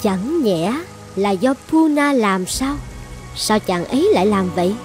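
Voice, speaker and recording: A woman playing a proud dragon goddess in a dubbed fairy-tale cartoon, with theatrical, expressive delivery. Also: medium pitch, unhurried, faint music behind, close to an everyday voice. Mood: frustrated, suspicious. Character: proud dragon goddess, devoted wife turned vengeful.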